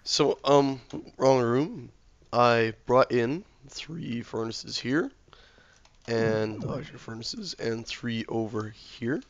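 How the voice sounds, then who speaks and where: A man with a sore throat and a slightly hoarse voice, casually commentating his game while he builds, mumbling and thinking aloud to himself. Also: mid-range voice, slow, dry recording, muffled recording. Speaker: let's play gamer.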